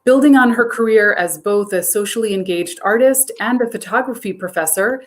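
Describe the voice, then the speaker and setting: A woman's voice: medium pitch, normal speed. Encouraging woman curator interviewer. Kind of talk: museum talk introduction and interview.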